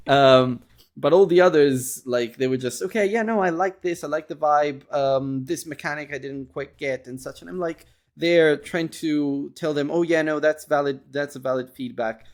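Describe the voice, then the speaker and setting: A man's voice: a little high, moderate pace. Man hosting a casual interview podcast. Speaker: podcast host interviewing indie game developers.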